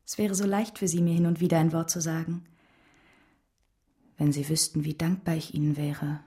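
A scheming baroness in a radio drama, in a very low, velvety soft voice. She coaxes and flatters seductively, often sinking toward a breathy murmur. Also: normal speed. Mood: seductive, pleading. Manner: breathy asides, coaxing, gushing. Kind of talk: German radio drama acting.

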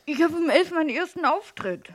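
A woman's voice: sits high, animated, fairly quick. A woman playing a flirtatious, mocking mistress and bar performer in a German radio crime play. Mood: coy. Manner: coquettish, mocking.

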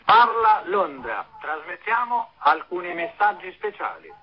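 An actor playing a formal 1940s wartime radio announcer, measured and deliberate, through an old radio filter. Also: male voice, sits high, very animated. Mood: formal, cryptic. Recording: dull, lo-fi old radio sound.

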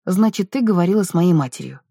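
A troubled husband of almost forty, voiced by a woman narrator, speaks in terse, bitter challenges. The tone is soft and mellow, in a dry audiobook recording. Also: lowish voice, animated, quick pace, creaky, husky, dry recording, exaggerated voice. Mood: suspicious. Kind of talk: audiobook character dialogue.

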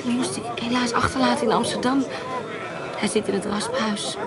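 A woman's voice: fairly high pitch, steady tone, normal speed, over a noisy crowd, lightly acted. An actress playing a sly, flirtatious woman who schemes to trap a swindler in a Dutch radio play. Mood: coaxing.

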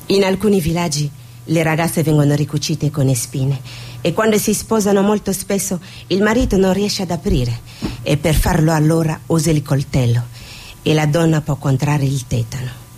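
Stage drama: actress as wounded, defiant Somali woman, deep and mellow, slight background noise. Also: moderate pace, natural voice. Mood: anguished, haunted. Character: Somali woman, scarred by suffering, defiant and mocking. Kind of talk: stage monologue and dramatic dialogue.

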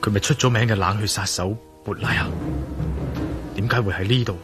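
A male actor plays an inquisitive adventurer in a radio drama, pondering aloud in Hong Kong Cantonese. The voice is fairly low and deliberate. Music is clearly audible underneath. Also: close to an everyday voice. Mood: hushed wondering. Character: inquisitive, bold male adventurer investigating a mystery.

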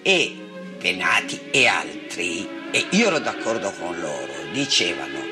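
A man's voice: low voice, sing-song, measured pace, music in the background. A man recounting a memory emphatically, in a spoken testimony style. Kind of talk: spoken recollection.

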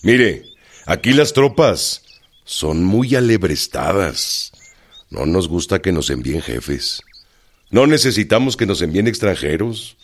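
Low-voiced, blunt Mexican revolutionary general. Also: male voice, very animated, husky, exaggerated voice. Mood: defiant. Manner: grunting acknowledgements, deliberate, plain-spoken. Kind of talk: radio drama acting.

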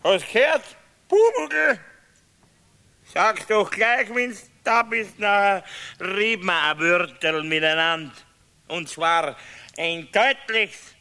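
An actor playing a tipsy, blustering husband with a Bavarian accent in a radio play, talking in a muttering, scornful drawl. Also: male voice, high-pitched, pushed and bright, exaggerated voice. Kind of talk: 1970s radio play acting.

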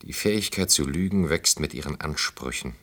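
Low-voiced, wry sceptic in his thirties. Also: moderate pace, a bit bright. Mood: sardonic musing. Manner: dry, ironic, musing. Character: wry, sceptical man around thirty, gently teasing, disillusioned. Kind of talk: German radio play dialogue.

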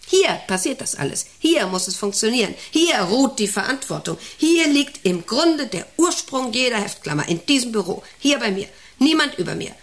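An actress playing a middle-aged, self-important and intrusive company director in a radio play, rambling and domineering with a creaky voice. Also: female voice, a little high, fairly bright tone, full character voice. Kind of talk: radio play monologue and dialogue.

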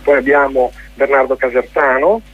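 An Italian man with a husky, slightly rough voice at a middle pitch. He speaks as a festival director being interviewed on the radio, haltingly, circling back on words. The recording is dull and lo-fi. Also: steady tone. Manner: hesitant, halting, with repeated words.

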